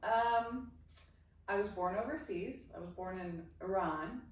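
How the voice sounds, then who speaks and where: Middle-aged American woman, animated interview guest and coach, casual storyteller, recorded off-mic in a heavily reverberant room. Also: mid-range voice, a little slow. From grew up in the United States. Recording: very echoey room, distant mic, faint noise.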